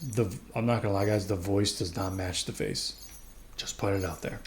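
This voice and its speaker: A man's voice: lowish voice, steady tone, moderate pace, slight background noise. A man casually commentating over his gameplay, rambling and chatty with lots of fillers. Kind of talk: casual let's-play commentary.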